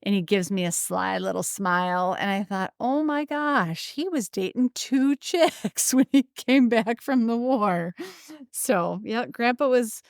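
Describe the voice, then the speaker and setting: A woman from Wisconsin, co-hosting a podcast, telling a family story in a chatty, animated way. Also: medium pitch, unhurried, creaky, no room sound, clean studio sound. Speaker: podcast co-host, antique shop owner. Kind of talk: casual storytelling chat.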